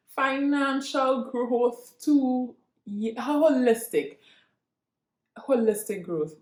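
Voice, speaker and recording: Unhurried Trinidadian woman vlogger, echoey room. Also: medium pitch, a little mellow. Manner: earnest, halting, with frequent restarts and repeats. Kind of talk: reflective personal monologue to viewers.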